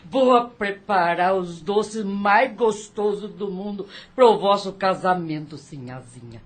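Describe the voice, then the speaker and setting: An actress playing a jolly, doting household cook in an audio novel, talking in a folksy, rustic way. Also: female voice, mid-range voice, takes it slowly. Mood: jolly, affectionate.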